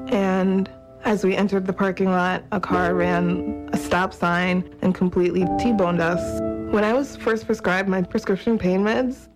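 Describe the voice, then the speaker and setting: Woman's testimonial over loud guitar music. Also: on the low side, rough recording. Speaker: testimonial speaker. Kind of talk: first-person testimonial in public service spot.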